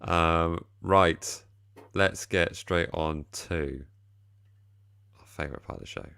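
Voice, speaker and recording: Tired-sounding deep-voiced man. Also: takes it slowly, creaky, sharp tone, clear, resonant tone, dry recording, studio quality. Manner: rambling, self-deprecating. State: tired, slightly deeper voice than usual. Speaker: podcast host. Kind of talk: casual football chat.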